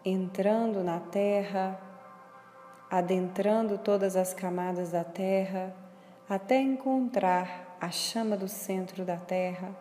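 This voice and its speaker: A Brazilian woman narrating a guided meditation in a measured, instructional way, with long pauses between phrases. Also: medium pitch, steady tone, mellow. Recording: echoey with long reverb, background music. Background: music.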